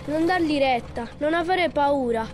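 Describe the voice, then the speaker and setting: A woman's voice: sits high, unhurried. A woman playing an earnest believer in change, urging someone on in an audio drama. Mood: urgent, encouraging. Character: earnest woman rallying springtime to revolution. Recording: clear ambient noise behind.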